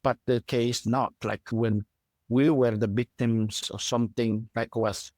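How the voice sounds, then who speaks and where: A middle-aged man from Guatemala with a Guatemalan Spanish accent gives personal testimony as a refugee advocate, in halting, repetitive non-native English phrasing. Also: medium pitch, level tone, darkish tone, no room sound, studio quality. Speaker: refugee advocate and settlement worker.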